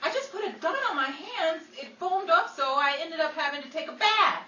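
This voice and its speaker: A woman playing Betty, a flustered, scatterbrained yet quick-witted woman, with theatrical exclamations in 1940s radio comedy style. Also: extremely high pitch, animated, sharp tone, exaggerated voice. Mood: flustered, exasperated. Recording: echoey room, distant mic, faint noise.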